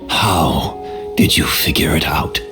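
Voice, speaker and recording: An actor playing a weary, reflective dying man in a video game, speaking in a halting, deliberate way. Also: very deep voice, over music. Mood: weary, searching. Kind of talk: dramatic video game voice acting.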